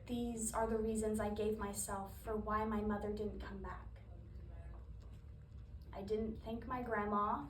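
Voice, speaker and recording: A woman performing a wistful, grieving daughter in a dramatic monologue, speaking in a subdued, confessional, halting way. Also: mid-range voice, flat delivery, natural voice. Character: wistful woman recalling childhood grief for her astronaut mother. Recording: echoey room, distant mic, background noise.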